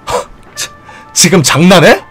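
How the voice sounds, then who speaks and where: A man's voice: high voice, huge pitch swings, a little slow, fairly bright tone, slightly rough, faint music behind, full character voice. An actor playing a hot-tempered, blunt young man in an audio drama. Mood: angry. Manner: irritable, blunt.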